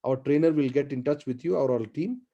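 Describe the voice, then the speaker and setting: A man's voice: mid-range voice, brisk, vocal fry, mellow, highs cut off. A man hosting an online training webinar, encouraging the attendees and repeating words of praise, with an African English accent. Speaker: webinar host. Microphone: video call.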